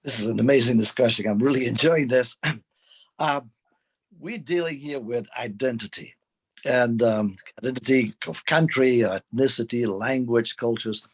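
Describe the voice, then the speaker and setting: An older man from Portugal, a retired teacher, speaking reflectively and deliberately on a panel with a hoarse voice. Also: medium pitch. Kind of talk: unscripted panel talk.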